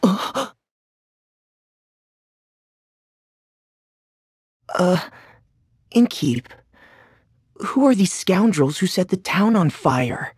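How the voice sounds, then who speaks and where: Earnest young game hero: emotive, slightly husky, measured pace, easily bewildered. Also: female voice, on the low side, big pitch swings, darkish tone, dry recording, studio quality, heavily acted. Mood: confused. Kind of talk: video game voice acting.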